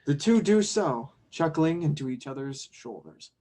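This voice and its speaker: A man's voice: fairly high pitch, expressive, normal speed, mellow. A man who narrates and directs an amateur audio drama table read.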